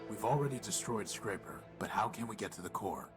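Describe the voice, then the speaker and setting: A man's voice: lowish voice, expressive, a little fast, lightly acted. A man voicing a world-weary, practical male robot hero in a video game, with a grim delivery. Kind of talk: dramatic video game voice acting. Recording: loud music under the voice.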